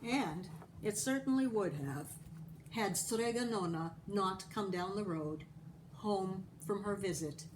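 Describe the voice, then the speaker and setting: Female storybook reader, unhurried and animated, medium pitch, faint noise behind. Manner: animated, acting out the dialogue. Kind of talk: expressive read-aloud of a picture book.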